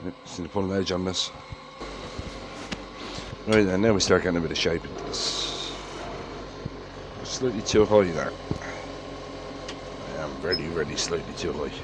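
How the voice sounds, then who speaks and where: Low-voiced Irish man with a head cold. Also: normal speed, long pauses. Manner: plain-spoken, matter-of-fact. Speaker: woodturner. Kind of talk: workshop narration. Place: woodturning workshop. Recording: workshop noise behind voice.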